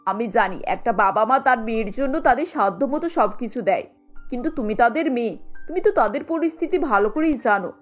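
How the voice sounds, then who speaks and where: An older mother-in-law of a cartoon drama, acted by a woman. She admonishes in a measured, high voice. Faint music plays behind. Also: lively intonation. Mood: reproachful. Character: reasoning, reproving older mother-in-law, female. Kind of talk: cartoon story acting.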